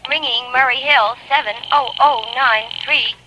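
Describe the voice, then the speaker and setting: An actress playing a formal, courteous, unruffled telephone operator in a 1940s radio drama. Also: female voice, high voice, steady tone, unhurried, dull audio. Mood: polite, detached. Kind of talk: 1940s radio thriller acting. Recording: noisy old radio recording.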